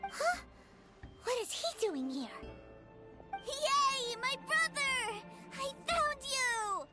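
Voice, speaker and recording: A woman voicing an innocent, excitable little boy in a video game, childlike and eager, adoring his big brother. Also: very high-pitched, extremely slow, over loud music, exaggerated voice. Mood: excited. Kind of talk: video game character dialogue.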